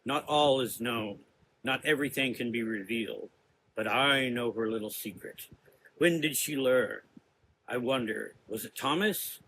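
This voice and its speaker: A man playing a menacing, cerebral gothic sorcerer in an audio drama, lecturing deliberately in drawn-out, taunting phrases. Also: mid-range voice, a bit bright, slight background noise, low-quality recording. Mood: accusing.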